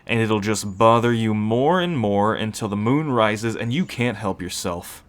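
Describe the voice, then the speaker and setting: A man playing a seasoned, calm werewolf who explains pack ways matter-of-factly in an ASMR roleplay. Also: fairly low pitch, unhurried.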